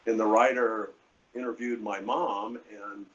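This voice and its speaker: Older guy w a deep voice rambling thru old stories, halting, dull live stream sound, bit of room noise. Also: fairly even intonation, a bit bright. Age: 60s or older. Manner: rambling, halting anecdotes with quoted dialogue. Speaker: artist being interviewed. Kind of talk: casual storytelling at a live event. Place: hotel event space.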